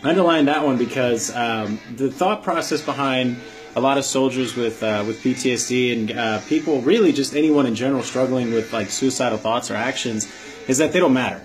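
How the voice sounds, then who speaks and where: A man speaks at an average pitch as a vlog host, explaining things plainly and earnestly. His delivery is informal motivational advice aimed straight at the viewer. Faint music plays behind his voice. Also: brisk, rough recording. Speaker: vlog host, army veteran. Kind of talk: motivational advice talk to camera.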